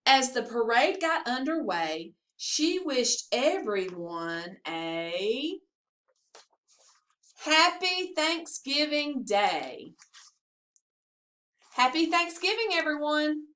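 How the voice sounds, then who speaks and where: A woman reading a picture book aloud to young children in an expressive, sing-song storytelling manner. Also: high voice, very slow, pauses often. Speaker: assistant principal reading to pupils.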